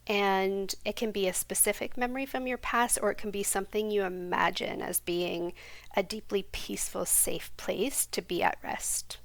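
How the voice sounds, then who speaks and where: A woman guiding a yoga nidra meditation in a slow, soft, soothing voice that sometimes drops to a near whisper. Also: medium pitch, steady tone, gravelly, no echo. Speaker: meditation guide.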